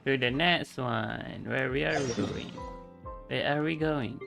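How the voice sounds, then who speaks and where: A man's voice: mid-range voice, slow pace, vocal fry. Slangy man streaming a game. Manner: slangy, reactive. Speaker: streamer, VTuber. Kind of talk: casual live game commentary. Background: game music.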